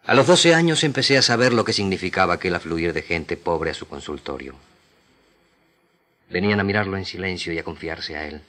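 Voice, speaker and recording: Very deep-voiced man reciting solemnly. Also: expressive, a bit bright. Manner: solemn, measured phrasing with pauses. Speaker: radio drama actor reading literary excerpts. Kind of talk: dramatic literary recitation.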